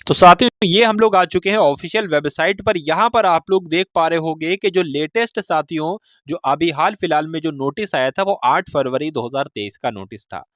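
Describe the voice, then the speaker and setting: A man's voice: a little high, moderate pace, hardly any pauses, a bit bright, no room sound, clean studio sound. A man hosting an exam preparation update, explaining informally to viewers, repeating and rephrasing his points and addressing them as friends. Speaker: host of exam preparation channel.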